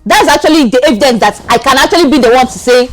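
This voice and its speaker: A woman's voice: high voice, animated, fast, faint music behind, poor audio. A young Nigerian woman in a casual, playful argument, speaking emphatically and repeating herself in protest. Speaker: friend debating on a vlog.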